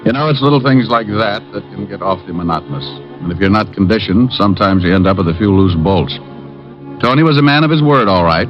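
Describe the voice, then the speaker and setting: A man's voice: fairly low pitch, animated, fast talker, lightly acted. A man playing a sardonic wisecracking private eye. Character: wisecracking male private detective narrator. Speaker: detective narrator. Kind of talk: 1950s radio drama acting. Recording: lo-fi old radio broadcast with faint music.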